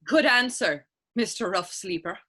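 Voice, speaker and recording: An actress playing a sassy, quick-witted young Irish woman in a stage play reading, saucy and challenging in folksy phrases. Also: medium pitch, animated, pushed and bright, husky, heavily acted. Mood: mock offended. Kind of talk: stage readers theater dialogue.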